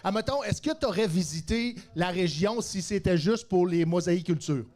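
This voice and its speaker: Brief: male podcast host, quite high voice, teasing and playful. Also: animated, moderate pace, a little mellow. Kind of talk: casual live podcast chat.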